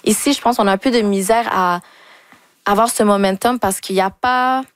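A young woman with a Québécois French accent, a singer answering interview questions in a relaxed way and dropping English words into her French. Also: mid-range voice, moderate pace, no room sound, clean studio sound. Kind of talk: casual interview conversation.

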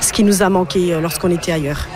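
A woman from France with a French accent, interviewed outdoors at a family event, talking in a lively way. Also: fairly low pitch, fast, a crowd in the background. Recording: music playing clearly behind.

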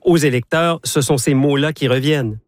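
A man's voice: a little high, moderate pace, no room sound, studio quality. A man hosting a radio interview, composed and articulate, speaking in full sentences. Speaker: radio show host.

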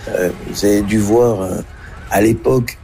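A man giving a reflective, spontaneous interview, halting as he searches for his words. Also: lowish voice, fairly even intonation, warm tone, slight background noise. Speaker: interviewed guest.

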